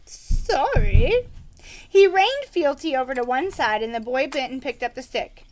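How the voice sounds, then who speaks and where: A woman reading a children's book aloud as an expressive storyteller. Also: sits high, moderate pace, slight background noise.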